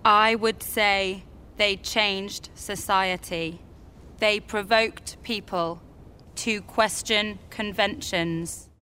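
Thoughtful film woman, played by a woman, measured, very slow delivery, slight background rumble. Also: fairly even intonation, bright, forceful tone. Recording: faint rumbling background noise.

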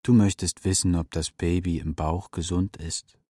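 An actor playing a wise, proud captured eagle in a children's radio drama, speaking gravely and deliberately. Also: male voice, deep voice, steady tone, mellow, no echo, studio quality. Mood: calm, knowing.

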